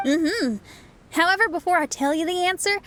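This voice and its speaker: A woman's voice: very high-pitched, moderate pace, fairly bright tone, a little hiss or hum. An actress voicing a bold, frank young female rock musician with a straightforward, easygoing manner in a game. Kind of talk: game voice acting.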